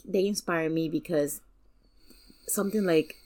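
A woman's voice: sits low, measured pace, darkish tone. A woman guesting on a podcast, chatting casually and somewhat tentatively, restarting her phrases. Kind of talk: casual chat.

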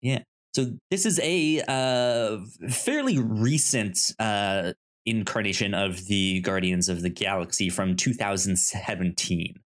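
A man's voice: fairly low pitch, measured pace. Stammering man in casual podcast chat. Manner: stammers, often repeating first syllables of words. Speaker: podcast co-host. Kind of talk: casual chat, pitching comics and reading blurbs aloud.

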